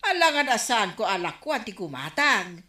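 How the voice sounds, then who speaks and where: A woman playing a defensive village liquor seller in an Ilocano radio comedy drama, animated and protesting. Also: mid-range voice, moderate pace, roomy sound.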